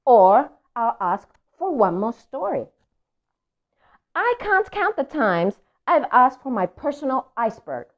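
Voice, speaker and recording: A woman's voice: sits high, big pitch swings, takes it slowly, pitched above the performer's own voice, heavily acted. A woman, a native Spanish speaker, voicing a grumpy, suspicious male storybook pigeon who complains with attitude. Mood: indignant, complaining. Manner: loud, exaggerated complaining. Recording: dull lo-fi audio.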